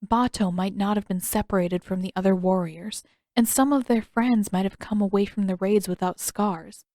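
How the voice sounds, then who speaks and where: A woman narrates scripted fiction in the style of an audiobook. Her voice sits at an average pitch for a woman. It is recorded close and dry, with no audible room. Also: fairly even intonation, moderate pace, mellow, no room sound.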